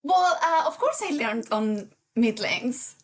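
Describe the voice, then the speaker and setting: A woman, chatting as an interview guest in an unhurried way, often searching for words. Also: fairly high pitch, expressive, slightly rough. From Colombia. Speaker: guest surfer. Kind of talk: casual interview chat.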